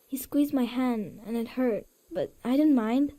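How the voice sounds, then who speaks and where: Woman doing game boy, grieving, high and mellow, plain delivery. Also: a little slow, lightly acted. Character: young boy grieving his late father.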